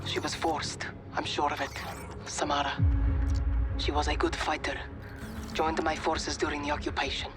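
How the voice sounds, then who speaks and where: A woman's voice: sits low, flat delivery, normal speed, lightly acted. An actress playing a grim female rebel commander who speaks in short, grave sentences, over music. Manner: terse, grave. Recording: game cutscene with music bed.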